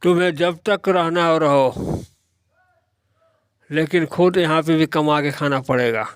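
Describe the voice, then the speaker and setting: A man's voice: fairly high pitch, a little slow, smooth, no echo, clean studio sound, natural voice. A man voicing a stern, worldly-wise older father in a moral-story cartoon, lecturing and admonishing.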